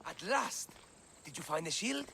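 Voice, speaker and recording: High-pitched, imperious wrathful son. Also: male voice, big pitch swings, a little slow, creaky, bright, forceful tone, full character voice. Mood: eager. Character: wrathful, imperious young son with grandiose airs. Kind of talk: video game cutscene dialogue. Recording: faint background noise and ambience.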